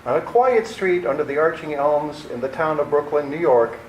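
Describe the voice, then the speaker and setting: A man's voice: fairly high pitch, normal speed, muffled recording. A man announcing and narrating an old-time radio play in scripted style. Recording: roomy recording with faint noise.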